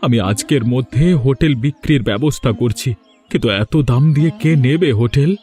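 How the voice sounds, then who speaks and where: A man acts out a devoted village father from a cartoon. His medium-pitched voice is worried yet earnest and reassuring, in a melodramatic style with music behind. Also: fairly quick, warm tone, lightly acted.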